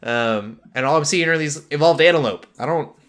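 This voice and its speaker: A man's voice: on the high side, moderate pace, fairly bright tone. A man hosting a casual pop culture podcast, talking in a rambling way that drifts into tangents. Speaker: podcast host.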